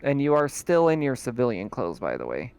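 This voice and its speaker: A man acting as game master, narrating in a relaxed, chatty way and often laughing. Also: mid-range voice, fairly quick, vocal fry, clean tone, dry recording. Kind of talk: casual tabletop game narration.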